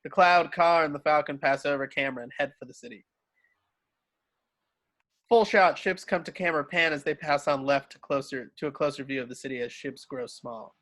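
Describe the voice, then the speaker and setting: Guy w slightly high voice reading screenplay directions, narrator for a script read. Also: pushed and bright.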